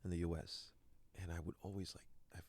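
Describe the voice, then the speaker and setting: Deep-voiced earnest man, French native. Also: level tone, brisk, darkish tone. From Canada. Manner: earnest, repeats words while searching. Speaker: guest, actor. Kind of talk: reflective interview talk.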